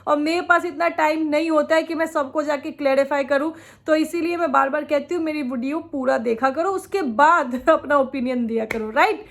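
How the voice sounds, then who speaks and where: A woman's voice: high-pitched, normal speed. A woman vlogger, chatting opinionatedly about other creators while she has a sore throat from a cold and laughs often. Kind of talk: casual reaction vlog commentary.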